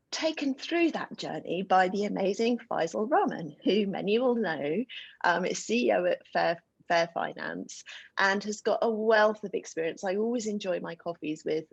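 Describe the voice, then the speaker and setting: A woman hosts an online panel over a video call in a chatty, filler-heavy way. Her voice sits low and sounds husky with a gravelly edge. The audio is dull and lo-fi, like a muffled call connection. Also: no room sound. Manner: warm, chatty, with frequent um fillers. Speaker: host and moderator.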